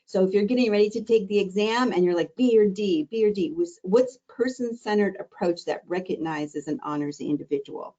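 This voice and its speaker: A middle-aged woman, a diabetes educator, teaching an exam-prep webinar in a warm, conversational, encouraging way. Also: mid-range voice, a little fast, hardly any pauses, lo-fi sound. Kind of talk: live online exam-prep lesson.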